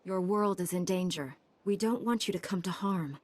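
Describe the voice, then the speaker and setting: An actress playing a principled, calm young heroine in a science-fantasy video game, sincere and plain-spoken. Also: female voice, lowish voice, moderate pace, natural voice. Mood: earnest. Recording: music behind the voice.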